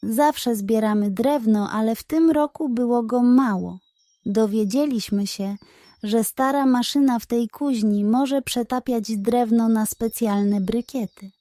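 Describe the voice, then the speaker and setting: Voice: medium pitch, measured pace, mellow, dry recording, studio quality, natural voice. A woman voicing an anxious, apologetic male porcupine explaining himself in a children's bedtime story. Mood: earnest explaining. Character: sheepish, anxious male porcupine, father of large family.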